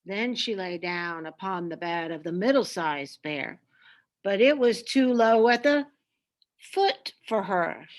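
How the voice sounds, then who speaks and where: A woman reading a children's story aloud with expressive, deliberate, dramatic narration. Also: mid-range voice, dull audio.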